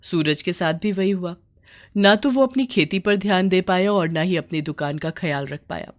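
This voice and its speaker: A woman's voice: on the low side, moderate pace. A woman storyteller reading a scripted moral bedtime story.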